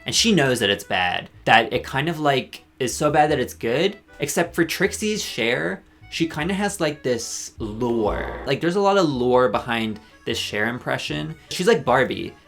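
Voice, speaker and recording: A man giving a rambling, sarcastic video commentary with deadpan asides. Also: mid-range voice, measured pace, creaky, few pauses, faint music behind. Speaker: commentary YouTuber. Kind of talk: ranking commentary.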